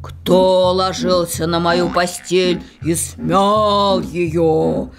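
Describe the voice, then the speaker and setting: A woman voicing a big, shaggy, angry father bear in a slow, drawn-out growl. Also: fairly low pitch, steady tone, vocal fry, soft background music, full character voice. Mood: angry roaring.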